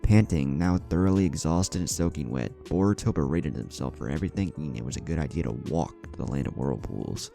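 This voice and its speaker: Man, very low pitch, dark mellow tone, dramatic story narration, music underneath. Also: lively intonation, fairly quick, creaky, hardly any pauses. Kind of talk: dramatic scripted fan fiction narration.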